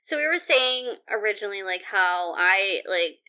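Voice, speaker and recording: A woman's voice: medium pitch, expressive, moderate pace, pushed and bright, clear, resonant tone. A young adult woman co-hosting a podcast, rambling casually with lots of filler words and some swearing. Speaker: podcast co-host. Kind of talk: casual chat. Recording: slightly dull lo-fi audio.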